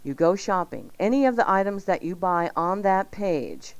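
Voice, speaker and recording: A woman hosting a radio cooking show with lively banter and promotional patter. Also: fairly low pitch, normal speed, no echo, muffled recording.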